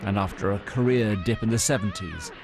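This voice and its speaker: A man's voice: on the low side, brisk. A British man narrating a scripted television news report as its reporter. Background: music.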